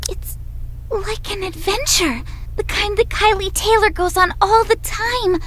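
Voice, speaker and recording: Curious kid girl for a game, really high eager voice, some noise behind. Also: unhurried, fairly bright tone. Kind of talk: visual novel voice acting.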